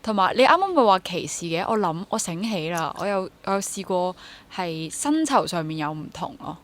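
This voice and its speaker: A woman's voice: lowish voice, very animated, moderate pace. A young woman with a Hong Kong Cantonese accent talking casually as an interview guest, with English words mixed in. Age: young adult. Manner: mixes English words into speech. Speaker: interview guest, stage actor.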